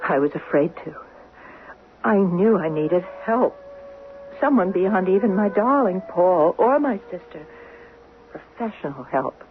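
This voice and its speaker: A woman's voice: fairly low pitch, animated, unhurried, long pauses. An actress playing an anxious, trusting young wife in her late twenties in a 1970s radio drama, in dialogue and first-person narration. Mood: anxious, confiding. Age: late 20s. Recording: muffled narrow-band vintage radio, faint hiss.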